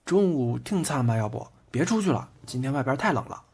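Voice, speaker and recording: Man acting a husky, playful young boyfriend, lively pitch. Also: a little fast. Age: young adult. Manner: teasing, colloquial. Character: playful, devoted twenty-year-old boyfriend, teasing and boyish. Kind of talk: audio drama acting, intimate banter. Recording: background music under the voice.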